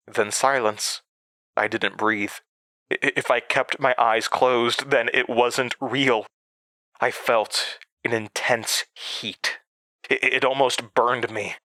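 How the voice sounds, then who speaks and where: An actor playing a traumatized man recounting his horrifying past in an audio drama, halting and stammering. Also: mid-range voice, bright, forceful tone, no echo. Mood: haunted, tense. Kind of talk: audio drama monologue, first-person narration. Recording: music under the voice.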